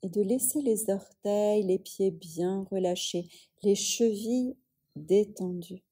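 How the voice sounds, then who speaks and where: Very slow, soft dark-voiced woman guiding meditation. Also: mid-range voice, slightly rough. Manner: soothing, unhurried, with long pauses. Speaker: yoga nidra teacher. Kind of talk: guided relaxation meditation.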